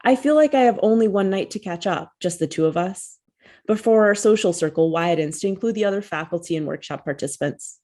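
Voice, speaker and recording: A woman author reading her own personal essay aloud, at a measured and reflective pace, over a video call. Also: medium pitch, lively intonation, darkish tone. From United States.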